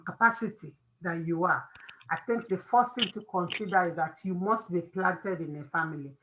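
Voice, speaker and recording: A woman's voice: lowish voice, bright, forceful tone, rough recording. A woman with a Nigerian accent hosting an informal faith-based advice talk, emphatic and repeating phrases for stress. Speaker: host of a family discussion.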